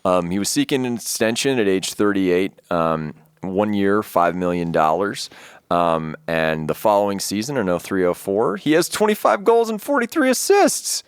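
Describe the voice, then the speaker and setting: A man's voice: low voice, very animated, normal speed, clear, resonant tone, no echo, clean studio sound. A man, co-hosting a casual sports podcast, reading out stats and cracking jokes. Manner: jokey, reads stats, slips into silly voices. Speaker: podcast co-host.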